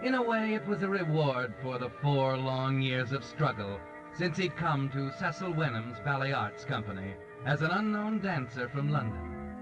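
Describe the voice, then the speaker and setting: Actor as ominous male storyteller, ironic, measured, dull old radio sound, music underneath. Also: medium pitch, very animated, natural voice. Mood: sardonic, knowing. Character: ominous, knowing male mystery narrator, sardonic. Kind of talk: 1940s radio mystery narration. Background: dramatic music.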